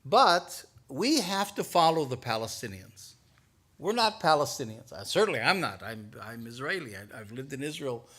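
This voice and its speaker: A man's voice: mid-range voice, sing-song, moderate pace, sharp tone, husky. An older man, answering an audience question at a talk, hesitant at first with many restarts, then explaining earnestly. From United States. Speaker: guest speaker.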